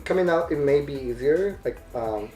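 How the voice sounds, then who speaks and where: A man talking thoughtfully in a casual conversation, pausing often to search for his words. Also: fairly high pitch, lively intonation, mellow. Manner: thoughtful, hesitant, many fillers. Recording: echoey room with faint music.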